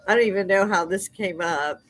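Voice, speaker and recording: Older woman, loud and laughing, a live-stream host chatting at her desk mic. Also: mid-range voice, a little fast, soft background music. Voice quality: loud speaking voice. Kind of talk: casual live-stream chat. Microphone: desk microphone.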